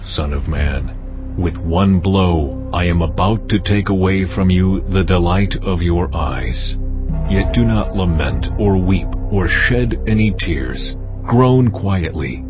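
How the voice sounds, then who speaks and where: An actor playing a stern, wrathful God, speaking gravely and commandingly in a dramatized audio Bible. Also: male voice, deep voice, slow. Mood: solemn, stern. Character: God, stern wrathful sovereign Lord pronouncing judgement. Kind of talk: dramatized audio Bible, solemn scripture oration. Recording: dull, muffled audio with music. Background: cinematic music.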